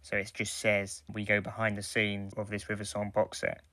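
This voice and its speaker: A man with a slightly low voice talks as a podcast co-host. His delivery is casual and conversational, and the recording is very dry, free of room sound. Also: monotone, normal speed, bright, forceful tone, dry recording. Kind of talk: casual chat about audio dramas.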